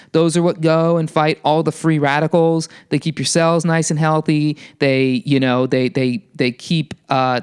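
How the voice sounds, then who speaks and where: A young man with a somewhat high, smooth and resonant voice, rambling casually about health. He is sarcastic and swears freely, and stumbles through fillers and restarts. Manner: puts on silly high voices for quoted people. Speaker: podcast host.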